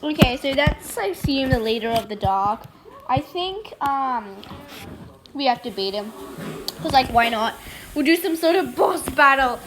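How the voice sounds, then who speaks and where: A young woman with a very high voice chats casually over a game she is playing. She is excitable and giggly, slipping into mock-dramatic roleplay delivery. The home recording has clear background noise. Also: measured pace. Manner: talks while eating and sucking ice. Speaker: gaming video host. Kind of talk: casual playful gameplay commentary with roleplay.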